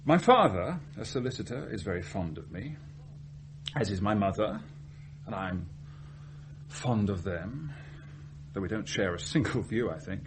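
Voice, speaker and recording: Low-voiced earnest lovestruck scientist. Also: male voice, lively intonation, a little hiss or hum. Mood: earnest, measured. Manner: hesitant, deliberate, confessional. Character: earnest, lovestruck, self-searching male research scientist from genteel Salisbury. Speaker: actor. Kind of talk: radio drama acting.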